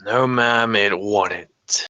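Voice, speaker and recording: Man playing a deep-voiced, guarded police detective. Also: extremely slow, pushed and bright, dry recording, dull audio, heavily acted. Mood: tense, deferential. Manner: terse, restrained, deferential. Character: weary, guarded, dutiful male police detective. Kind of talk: screenplay table read acting.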